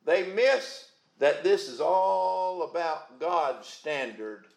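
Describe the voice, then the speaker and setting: A man preaching a sermon in a booming voice, folksy and calling out to the congregation. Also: expressive, slow pace, gravelly, reverberant. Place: church.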